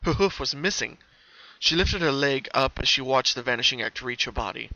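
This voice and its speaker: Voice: mid-range voice, lively intonation, moderate pace, pushed and bright, no room sound, highs cut off. A narrator giving a scripted dramatic reading of a fan story. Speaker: narrator, fan fiction reader.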